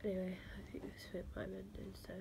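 A woman with a stuffy cold, talking slowly and half-whispering in a casual vlog, with a halting, sighing manner. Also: medium pitch, monotone, vocal fry. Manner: slow, halting, with sighs and fillers. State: congested with a cold, stuffy nose. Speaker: vlogger. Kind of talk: casual to-camera chat. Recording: noisy background hiss.

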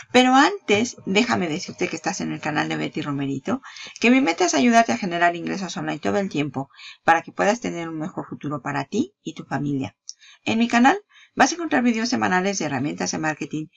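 A woman's voice: fairly low pitch, creaky, lo-fi sound. A woman giving a casual, chatty walkthrough of a product page, talking straight to the viewer and laughing at herself. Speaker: marketing YouTuber.

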